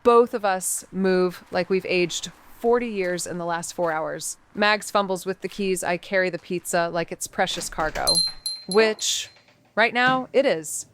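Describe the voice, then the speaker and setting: A woman's voice: fairly low pitch, a little slow, a bit bright, a little hiss or hum. An actress playing a skittish, wisecracking female amateur sleuth in a mystery audio drama, blunt, colloquial and sarcastic. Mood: tired, playful. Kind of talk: neo-noir audio drama dialogue and narration.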